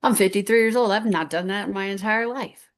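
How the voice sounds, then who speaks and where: A woman's voice: mid-range voice, brisk. A woman in her fifties telling a heartfelt personal story on stage, earnest and rambling, with many restarts. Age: 50s. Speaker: guest speaker.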